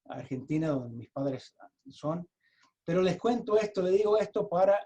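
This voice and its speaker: A man with an American accent, a native English speaker, giving an explanatory webinar presentation in his second language over a video call, halting and often restarting his sentences. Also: high voice, expressive, dark tone. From United States. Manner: halting, with frequent restarts and repeated words.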